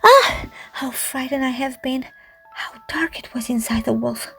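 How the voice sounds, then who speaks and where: A woman's voice: high voice, measured pace, creaky, pushed and bright, husky, pitched above the performer's own voice, exaggerated voice. A woman voicing an innocent, anxious little girl who exclaims in wide-eyed wonder. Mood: frightened. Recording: music under the voice.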